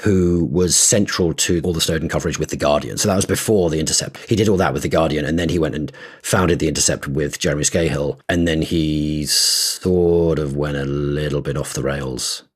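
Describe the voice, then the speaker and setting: A very low-voiced man with a husky, slightly rough tone, chatting on a podcast. He explains things at length, thinking aloud, often restarting sentences and dropping in small laughs. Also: steady tone, brisk, few pauses. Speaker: podcast co-host. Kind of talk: casual conversational podcast.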